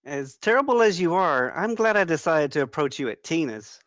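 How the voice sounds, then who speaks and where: A woman playing a warm, teasing woman on a date at a screenplay table read. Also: sits low, sing-song, normal speed, dry recording. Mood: teasing, affectionate.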